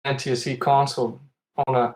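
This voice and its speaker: A man's voice: mid-range voice, little pitch movement, a little fast, rough recording. Casual, easygoing Icelandic man chatting. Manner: chuckles often while talking. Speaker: podcast guest, competitive retro game player. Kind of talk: casual chat, telling stories.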